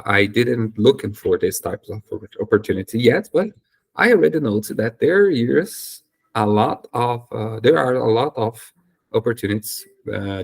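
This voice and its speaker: A man's voice: medium pitch, vocal fry, slightly rough. A young Brazilian man whose first language is Portuguese, speaking English with a Brazilian accent in a casual talk to camera, hesitant with many fillers and self-corrections. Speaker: YouTuber and English learner.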